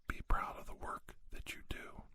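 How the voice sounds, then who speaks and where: A man whispering an ASMR monologue, giving folksy, plain-spoken advice and anecdotes with unhurried, emphatic pauses. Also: sharp tone. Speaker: ASMR podcast host.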